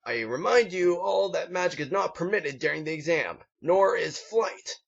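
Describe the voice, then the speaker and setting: A man's voice: sits high, animated, moderate pace, gravelly, pitched above the performer's own voice, full character voice. A man voicing a stern, commanding pony drill instructor who barks out clipped orders in a fan fiction reading. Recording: muffled lo-fi home recording.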